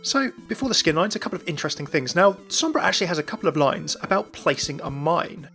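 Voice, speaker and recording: A man gives informal gaming commentary at a quick clip. His voice is bright and a little high, with light music underneath. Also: very animated. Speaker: gaming video host. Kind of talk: informal scripted-sounding video commentary.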